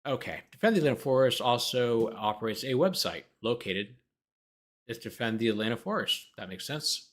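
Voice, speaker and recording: A man's voice: mid-range voice, expressive, moderate pace. A man who hosts a livestream as a lawyer, reading a document aloud and breaking off into sarcastic, exasperated, argumentative commentary.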